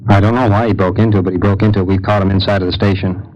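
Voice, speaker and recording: A man's voice: low voice, flat delivery, rapid-fire. A man speaking as a police sergeant, giving an official notification in a plain, matter-of-fact way. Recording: muffled vintage location tape, faint noise.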